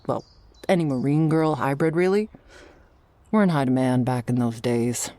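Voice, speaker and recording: A woman acting an earnest, world-weary orca girl in an audio roleplay, with a gentle, measured delivery. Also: extremely low pitch, animated, no room sound. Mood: warm, nostalgic.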